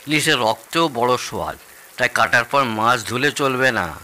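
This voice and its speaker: Male actor as proud East Bengali in-law, middle-aged, very bright forceful voice, lively swooping pitch, audio drama bragging. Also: normal speed. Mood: assured. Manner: theatrical, savouring, bragging. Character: boastful food-loving Bengali father-in-law from East Bengal.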